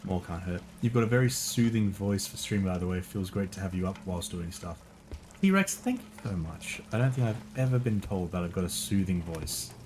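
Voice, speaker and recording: A man's voice: sits low, animated, moderate pace, darkish tone, slight background noise. A male streamer giving casual gameplay commentary, thinking aloud and muttering to himself.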